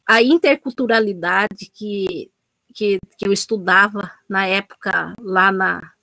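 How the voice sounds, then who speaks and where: Middle-aged woman, researcher, halting unscripted delivery, mid-range voice, dry close sound. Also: medium pitch, vocal fry, bright, forceful tone, dry recording, poor audio. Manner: hesitant, many restarts and repetitions. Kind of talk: unscripted talk at an online event.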